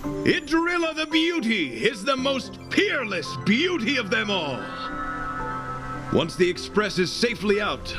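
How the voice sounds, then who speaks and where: A man voicing an earnest, idealistic young knight in a video game, courtly, florid and theatrical. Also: on the high side. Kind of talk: video game character acting.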